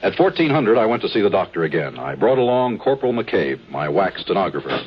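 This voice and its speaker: Formal, animated army colonel. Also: male voice, low voice, a little fast, husky, heavily acted. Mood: dry, measured. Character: firm, courteous male army lieutenant colonel telling his story. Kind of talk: 1950s radio drama narration and dialogue. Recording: dull 1950s radio broadcast with music.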